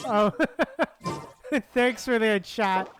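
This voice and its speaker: A woman's voice: fairly high pitch, drawn-out words. A woman chatting casually while livestreaming a game, laid-back, with murmured asides. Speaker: game streamer. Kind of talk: casual livestream chat over gameplay. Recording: game music behind voice.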